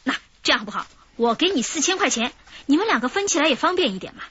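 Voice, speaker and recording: A woman's voice: high-pitched, very animated, fast, a bit bright. An actress playing a scheming older woman who poses as a kid's great-aunt, fawning and chuckling as she coaxes and bargains in a radio drama. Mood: wheedling. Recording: slightly dull broadcast audio with faint hiss.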